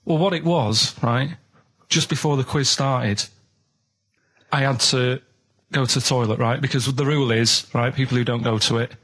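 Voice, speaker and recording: A man's voice: medium pitch, fairly even intonation, normal speed, fairly bright tone. A man with a Mancunian accent telling casual anecdotes as a radio sidekick, earnest and rambling, checking in with short tags as he goes. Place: radio studio. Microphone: studio microphone.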